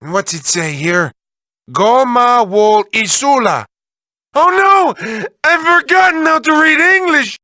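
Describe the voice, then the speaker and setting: A manic cartoon mad scientist, piercingly sharp and very high. He draws his words out slowly and theatrically. He is a whiny, lovelorn game villain who swings from cooing to wailing. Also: animated, no room sound, studio quality, full character voice. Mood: sardonic, then hysterical. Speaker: video game villain reading developer messages. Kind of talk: exaggerated comic cartoon voice acting.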